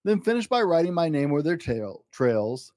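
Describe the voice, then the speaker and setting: A man's voice: on the high side, moderate pace, no echo, studio quality. A man voicing an exuberant, boastful eight-year-old schoolboy in a theatrical, rhyming picture-book story. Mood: eager, dreamy. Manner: excitable, grandiose, theatrical.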